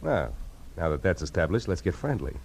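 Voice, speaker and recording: An actor playing a wry, hard-boiled private detective in a 1940s radio noir, narrating and talking in cool slang. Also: male voice, sits low, very animated, brisk, creaky, darkish tone, slight background noise, full character voice. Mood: smooth, inquisitive. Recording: old radio recording with music.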